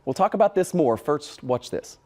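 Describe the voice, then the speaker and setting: A man's voice: fairly high pitch, expressive, moderate pace, noisy background. An American man presenting live as a TV news reporter, explaining things in an informal, conversational way.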